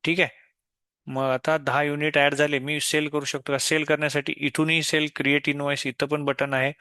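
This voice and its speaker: A man explains software step by step in an unscripted tutorial, often posing questions and answering them himself. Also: fairly high pitch, little pitch movement, normal speed, dry recording, studio quality. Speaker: software demo presenter.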